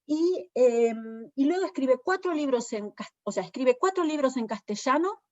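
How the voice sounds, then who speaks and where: A woman with an Argentine accent, a poet giving a thoughtful literary talk, pausing often with fillers as she searches for words. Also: high-pitched, animated, highs cut off. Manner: thoughtful, hesitant, many fillers. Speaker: poet and presenter.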